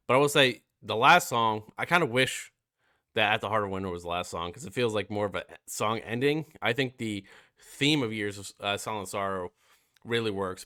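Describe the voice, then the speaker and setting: A man's voice: fairly low pitch, moderate pace, sharp tone, smooth, no room sound, clean studio sound. A young man with a stuffy, nasal voice from being sick, casually reviewing a metal album with lots of fillers. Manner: rambling, many fillers and swearing. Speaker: music reviewer.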